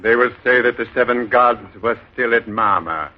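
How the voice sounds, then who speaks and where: An actor playing a cunning beggar leader who poses as a god in a 1930s radio drama, giving orders in a sly, deliberate way. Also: male voice, medium pitch, natural voice. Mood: grandly deceptive. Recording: muffled old radio broadcast with music.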